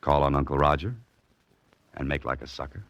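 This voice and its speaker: An actor playing a wry, self-mocking mystery writer and adventurer in 1940s radio drama style, with dry, sardonic, clipped delivery. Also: male voice, extremely low pitch, steady tone, brisk, muffled recording, loud music behind the voice. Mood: dryly determined. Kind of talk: 1940s radio drama acting with hard-boiled narration.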